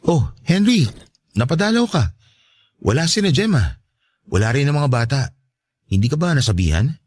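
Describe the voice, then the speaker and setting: Meek, very animated stepfather, acted by a man. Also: mid-range voice, normal speed, husky, dry recording. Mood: polite, hospitable. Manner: polite, placating, defensive. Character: meek, accommodating, homely stepfather. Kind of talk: Tagalog radio-style love story drama.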